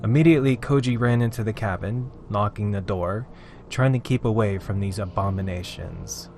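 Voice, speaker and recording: Horror narrator: male, fairly deep voice, dramatic and suspenseful scripted delivery, prominent music underneath. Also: a little mellow. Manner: suspenseful, theatrical, with drawn-out emphatic phrases.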